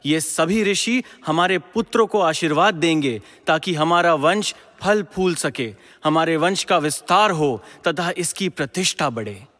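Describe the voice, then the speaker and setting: A man's voice: a little high, expressive, measured pace, hardly any pauses, a bit bright. A man playing a devout, principled ancient king in a mythological audio drama, speaking in a formal, declamatory way. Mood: regal, approving. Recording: long echoing hall reverb.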